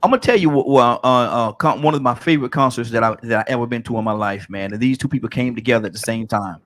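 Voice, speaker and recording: A man hosting a call-in talk show in a relaxed, chatty way, often restarting his sentences. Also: mid-range voice, fast talker. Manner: laid-back, stammering restarts, frequent "man". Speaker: radio show host. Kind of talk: casual call-in show chat.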